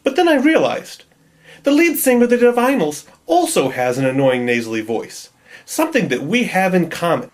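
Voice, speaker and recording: A man's voice: high voice, big pitch swings, moderate pace. Nasal male narrator, wry and self-deprecating, for a home-made tutorial voice-over. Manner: wry, joking. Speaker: video creator.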